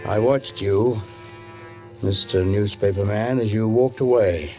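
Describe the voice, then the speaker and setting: An actor playing a brooding middle-aged London police sergeant who narrates a murder tale in a measured, formal, ominous 1940s radio style. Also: male voice, fairly low pitch, close to an everyday voice. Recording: dull 1940s radio recording, faint music.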